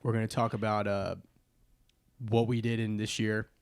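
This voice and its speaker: A young man co-hosting a fitness podcast, loud and jokey, his voice deeper than usual as he gets over a cold. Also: level tone, normal speed, clean tone. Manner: loud, bro-ish, joking. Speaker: podcast co-host, fitness coach. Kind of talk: casual chat.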